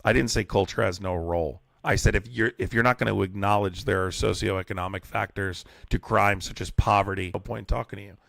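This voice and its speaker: A man's voice: fairly low pitch, fairly even intonation, brisk, vocal fry, hardly any pauses, a bit bright, no echo, clean studio sound. A young man hosting call-in debates on a live stream, exasperated and mocking, who says he is losing his voice.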